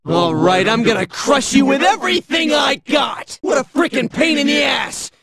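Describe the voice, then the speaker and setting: A man's voice: very high-pitched, lively intonation, normal speed, vocal fry, a bit bright, raised voice, a little hiss or hum, exaggerated voice. An actor playing a sneering, unhinged shadow self of a teenage boy in a game, mocking and aggressive.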